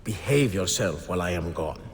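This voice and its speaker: A man's voice: low voice, lively intonation, moderate pace. An actor playing a menacing, possessive nobleman villain in a video game cutscene, domineering in manner. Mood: smug menace. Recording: long reverb, faint background noise.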